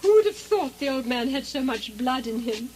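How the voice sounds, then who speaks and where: A woman's voice: sits high, expressive, moderate pace, darkish tone, slight background noise, low-quality recording. A woman playing a mad, sleepwalking noblewoman with a dreamy, theatrical delivery in a radio play. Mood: haunted, trancelike. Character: Lady Macbeth, sleepwalking madwoman, haunted and theatrical. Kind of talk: comic radio drama acting.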